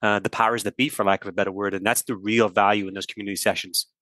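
A man from Ireland, hosting a casual podcast chat in a relaxed, chatty way. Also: on the low side, very fast, no room sound. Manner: relaxed, chatty, with fillers like "you know". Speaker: host.

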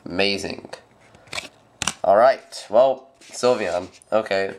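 A teenage boy with a congested, stuffed-up nose, rambling through a pack opening with plenty of fillers. His voice sits low and his talk is slow. The home camera recording carries clear background noise. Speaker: channel host. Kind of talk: casual card pack opening. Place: home room at a table. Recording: noisy home camera audio.

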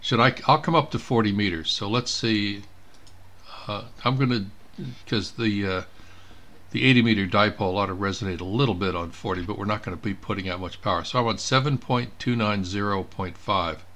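A man giving an informal step-by-step software demonstration, thinking aloud as he clicks through each step. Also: fairly low pitch, brisk. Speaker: presenter of a software tutorial. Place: indoors at a computer.

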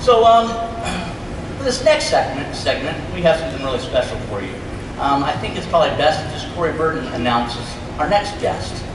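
A man's voice: a little high, lively intonation, fairly quick. A middle-aged man casually hosting a live convention panel from a big theatre stage, heard from the audience. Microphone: stage mic through PA, picked up by distant camera. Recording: echoey hall from far off, loud crowd noise.

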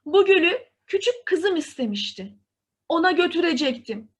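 A woman's voice: very high-pitched, very animated, moderate pace, voice pushed up. A woman voicing an anxious, pleading merchant father in a storybook tale. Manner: calling out, pleading.

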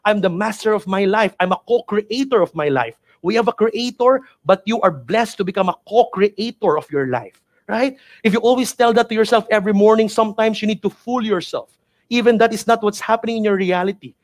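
High-pitched Filipino man, emphatic motivational trainer. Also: lively intonation, fairly quick. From Philippines. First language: Filipino (Tagalog). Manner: emphatic, repetitive, preacher-like urging with rhetorical questions. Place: indoor studio with virtual backdrop. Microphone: headset mic.

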